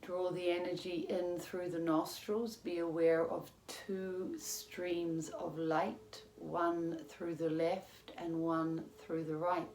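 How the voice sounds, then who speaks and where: A woman yoga teacher leading a guided relaxation in a calm, measured, soothing manner with long pauses. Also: fairly low pitch. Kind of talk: guided meditation, yoga nidra instruction. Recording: roomy echo with faint background noise.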